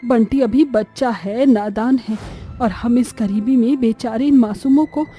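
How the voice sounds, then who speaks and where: A woman voicing a kindly, calming older mother-in-law in an animated story, gently reproving. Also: a little high, measured pace, soft background music, natural voice.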